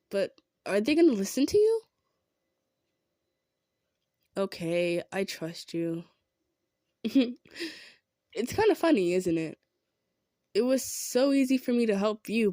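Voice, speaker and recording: Kind female centaur, played by a woman: mid-pitched, modest, softly insistent, roleplay delivery straight to the listener, no room sound. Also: no echo, studio quality. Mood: resigned, trusting. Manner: earnest, hesitant, gentle insisting. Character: kind, humble, self-effacing female centaur. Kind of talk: improvised audio roleplay addressed to the listener.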